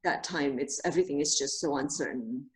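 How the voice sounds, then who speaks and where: A woman from Indonesia, a Montessori teacher, speaks with a lowish voice. She answers over a video call, thoughtful and hesitant, with many pauses and fillers. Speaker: Montessori teacher, interview guest.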